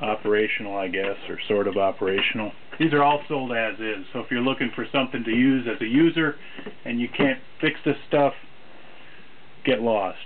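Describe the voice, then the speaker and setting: A man's voice: mid-range voice, normal speed. Man on tinny, very noisy audio. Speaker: seller demonstrating vintage shortwave radios. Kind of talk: casual off-the-cuff product demonstration. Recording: tinny narrowband audio, loud radio static. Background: loud shortwave radio noise.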